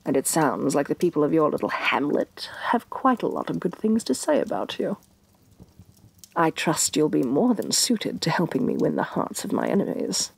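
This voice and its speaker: A woman playing a haughty, scheming evil fantasy queen in an ASMR roleplay, speaking softly and breathily with imperious, deliberate disdain. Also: low-pitched, very animated, full character voice, rough recording. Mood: coolly menacing. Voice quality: soft, breathy. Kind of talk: soft-spoken ASMR roleplay.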